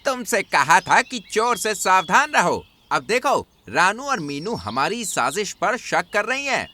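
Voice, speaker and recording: आदमी की आवाज़ में अकड़ू चालबाज़ चूहा, खिंची बोली. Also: male voice, high voice, very animated, pushed and bright, slight background noise, voice pushed up, full character voice. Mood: scheming, irritated. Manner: arrogant, drawn-out delivery. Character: cocky scheming male mouse, a visiting stranger. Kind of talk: cartoon character acting.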